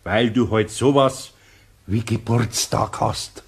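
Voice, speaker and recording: A man's voice: on the low side, slow, breathy edge, full character voice. An actor playing a kindly older master craftsman with a Bavarian accent, patient and amused, in a German radio play. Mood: patient, fond.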